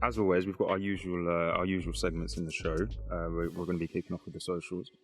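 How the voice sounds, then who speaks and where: A man's voice: sits low, level tone, fast talker. A man casually hosting a chat show in a laid-back, colloquial manner. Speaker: podcast host. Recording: music playing behind the voice.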